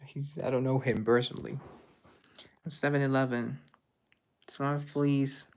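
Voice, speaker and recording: A man's voice: medium pitch, flat delivery, measured pace, a little mellow. A man hosting a casual social media live, reading out viewer comments and answering them in a teasing, joking, chatty way over a phone mic. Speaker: live-stream host.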